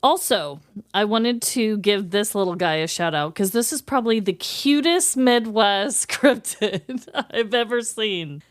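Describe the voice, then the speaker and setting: A woman podcast host with a clear, smooth voice at a middle pitch. She talks casually, moving between chat and her notes, in a very dry recording. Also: animated, unhurried, dry recording.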